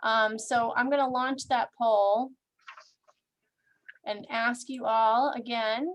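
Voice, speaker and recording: A woman's voice: fairly high pitch, fairly even intonation, slow pace. A tired woman co-hosting an online event, making informal announcements to the participants. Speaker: event organizer, co-host. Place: online video call.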